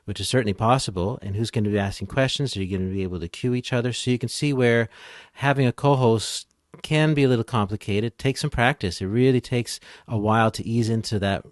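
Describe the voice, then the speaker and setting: A man's voice: medium pitch, quick pace, dry recording, studio quality. A man speaking as an expert podcast guest, explaining and advising in a casual, easygoing conversational way.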